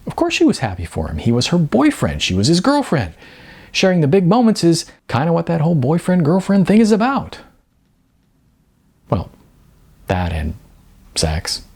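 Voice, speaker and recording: A man narrates his own fiction in a conversational audiobook style. His middle-pitched voice has a husky grain, and his intonation moves up and down expressively. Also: a little mellow. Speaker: author reading own novel.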